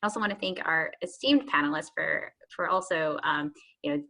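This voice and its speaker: A woman hosting a panel on a video call, her voice low yet bright and forceful. She speaks upbeat and fills her sentences with ums and you-knows. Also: fairly quick. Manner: many fillers, upbeat. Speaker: host. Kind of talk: moderating a panel discussion.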